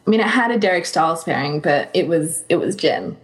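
A woman co-hosting a podcast in casual chat, informal and giggly, quick to react as she gives recommendations. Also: low voice, animated. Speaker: podcast co-host.

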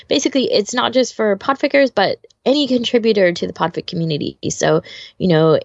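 Female podcast co-host, informal and chatty, creaky voice, dry and slightly dull recording. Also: mid-range voice, dry recording. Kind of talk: casual podcast chat.

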